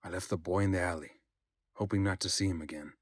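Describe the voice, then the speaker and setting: Man, lowish husky voice, dry close sound, audiobook narration. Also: steady tone, fast, dry recording. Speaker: voice-over narrator.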